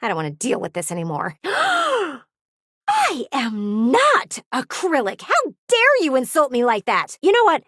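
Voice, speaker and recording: A woman's voice: high-pitched, huge pitch swings, a little slow, fairly bright tone, husky, no echo, studio quality, full character voice. A woman voicing a nosy, prim, easily offended lady window in a video game, with a theatrical, gushing and condescending manner. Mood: huffy, affronted. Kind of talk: animated video game character acting.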